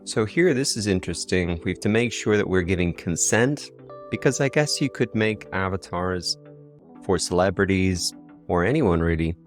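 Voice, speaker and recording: Deep-voiced Irish man, casual tutorial. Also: lively intonation, normal speed, soft background music. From Ireland. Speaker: tutorial video host.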